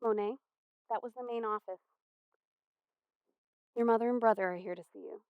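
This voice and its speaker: A woman's voice: on the high side, smooth, no echo, natural voice. Muffled, patient woman playing a female guidance counselor. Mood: hesitant. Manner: gentle, earnest, measured counseling delivery. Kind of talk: amateur stage play acting. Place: theater stage. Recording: muffled, dull stage recording.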